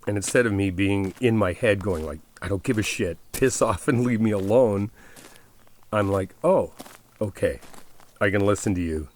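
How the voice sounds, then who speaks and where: A man acting out a caring best friend in an ASMR audio roleplay, with a low-pitched male voice. He speaks casually and directly to the listener, coaxing and reassuring, gently insistent. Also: normal speed, close to an everyday voice. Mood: gentle, coaxing.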